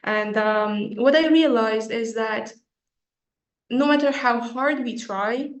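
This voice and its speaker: A woman's voice: a little high, takes it slowly. A young woman with an Afghan accent, an audience member speaking earnestly and hesitantly as she asks a question. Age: young adult. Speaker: student asking a question. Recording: roomy echoing video call.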